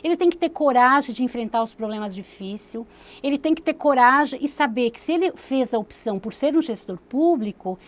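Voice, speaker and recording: A woman's voice: high-pitched, lively intonation, a little fast. A woman, a city secretary explaining her views in an earnest studio interview, with many fillers and restarts. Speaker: municipal secretary, interview guest.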